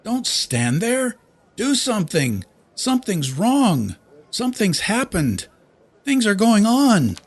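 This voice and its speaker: A man's voice: high-pitched, huge pitch swings, takes it slowly, breathy edge, voice pushed up, heavily acted. A man reading a short story as a panicked, desperate small-town store owner, pleading and rattled. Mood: frantic, shouting. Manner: frantic shouting, pleading, later shaky and weary. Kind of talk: audiobook character acting.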